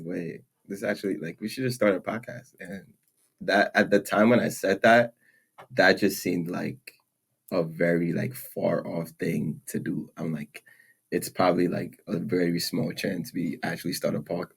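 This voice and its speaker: Deep gravelly young man, laid-back. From Nigeria. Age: young adult. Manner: laid-back, laughs often, many "like" fillers. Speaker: podcast co-host. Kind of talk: casual chat. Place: room, seated in chairs.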